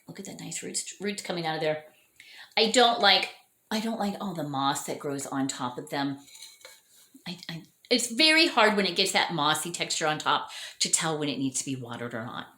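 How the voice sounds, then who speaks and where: A woman's voice: fairly low pitch, a bit bright. A woman showing off her orchids in a casual vlog, chatty and enthusiastic and often correcting herself, with her voice starting to go. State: losing her voice. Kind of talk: casual show-and-tell vlog. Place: dining room at home. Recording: echoey indoor room.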